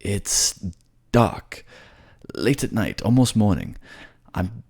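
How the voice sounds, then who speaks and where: A man's voice: on the low side, sing-song, gravelly, a little mellow, breathy edge, pitched above the performer's own voice, full character voice. A man playing an anxious, eloquent male mage narrating visions in a theatrical fantasy audio drama. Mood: fearful. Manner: theatrical, halting as visions unfold.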